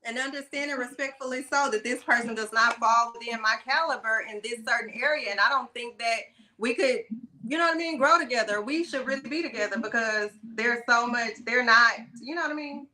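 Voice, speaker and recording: A woman's voice: fairly high pitch, fairly quick, pushed and bright, poor audio. A woman panelist in a casual group discussion, speaking reflectively and agreeing with the others.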